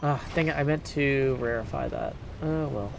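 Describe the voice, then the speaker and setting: A man's voice: medium pitch, moderate pace. A man giving casual live gameplay commentary as a streamer, thinking aloud as he makes his decisions. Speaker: game streamer. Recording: clear background game noise.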